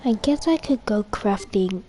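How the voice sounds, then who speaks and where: A woman's voice: mid-range voice, lively intonation, a little slow, mellow, a little hiss or hum. A woman chatting casually while she plays a video game, as a content creator. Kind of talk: casual gameplay chat.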